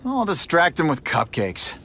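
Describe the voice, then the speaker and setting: An actor playing a cocky young American superhero in a video game, full of sarcastic quips. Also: male voice, on the high side, wildly swooping pitch, measured pace, sharp tone, exaggerated voice. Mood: teasing. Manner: sarcastic, quippy. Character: wisecracking, cocky young male masked superhero. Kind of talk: video game cutscene acting. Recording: game audio with background music. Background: clear music.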